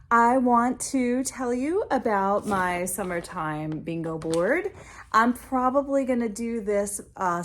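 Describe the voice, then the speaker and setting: A woman hosting a casual book vlog, chatting informally and rambling as she interrupts herself. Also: medium pitch.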